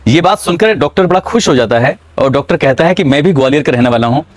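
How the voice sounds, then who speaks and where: A man narrating a true-crime story in a measured, dramatic storytelling manner. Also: fairly high pitch, lively intonation, no room sound.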